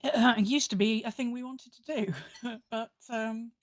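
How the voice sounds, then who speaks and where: A woman's voice: fairly high pitch, steady tone, a little slow, dry recording, clean studio sound. A woman hosting a podcast in a casual, conversational style. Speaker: podcast host. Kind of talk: casual chat.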